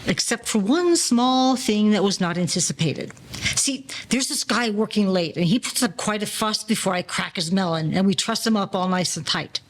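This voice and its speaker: A woman playing an aging male gangster safecracker in an audio play, telling stories in slangy, mock-formal 1940s style with quiet menace. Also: mid-range voice, moderate pace, natural voice. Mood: wily storytelling.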